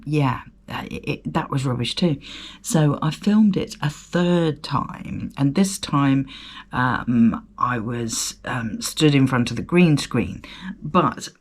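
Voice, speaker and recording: A middle-aged woman hosts her own podcast, her throat croaky from a cough. She talks at an unhurried, slow pace, rambling with fillers and poking fun at herself. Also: low-pitched. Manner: chatty, rambling, self-deprecating, with fillers and laughs. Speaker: podcast host. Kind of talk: solo casual podcast chat.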